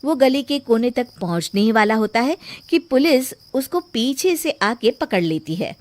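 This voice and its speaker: A woman narrates a children's cartoon story in a high voice. Her delivery is scripted storytelling, telling events in order for young listeners. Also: expressive, a little slow, a little mellow.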